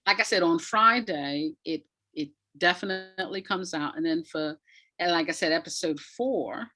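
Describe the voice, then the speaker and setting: A woman's voice: on the low side, normal speed, sharp tone, soft background music. A woman speaking as a playwright guest in a thoughtful interview, reflective and repeating words for emphasis.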